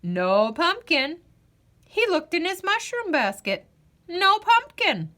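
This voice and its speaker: A woman reading a children's storybook aloud as a storyteller, in a deliberate, dramatic, expressive way. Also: very high-pitched. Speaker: storyteller, host of a read-aloud show.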